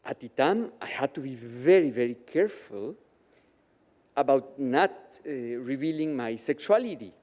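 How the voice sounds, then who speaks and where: A man from Mexico, raised with Yiddish as his first language, tells his life story in a slow, measured way. His voice is mellow and moves expressively up and down. The sound carries a long reverb from the chapel. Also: mid-range voice, lively intonation. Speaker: guest speaker at a Shabbat service. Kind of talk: personal testimony speech. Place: synagogue chapel.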